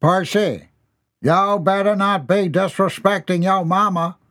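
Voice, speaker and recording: A man's voice: high voice, unhurried, fairly bright tone, pitched above the performer's own voice. A man voicing a blunt, bossy middle-aged tobacco farmer and father.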